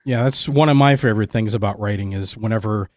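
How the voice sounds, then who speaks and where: A man's voice: on the low side, fast, dry recording, clean studio sound. A man hosting a podcast interview in a conversational style.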